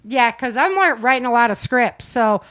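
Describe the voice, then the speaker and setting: Chatty woman host with a higher voice. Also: expressive, normal speed, fairly bright tone, no echo. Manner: chatty, informal, prompts others. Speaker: host and producer of an audio drama. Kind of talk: casual group chat, interviewing performers.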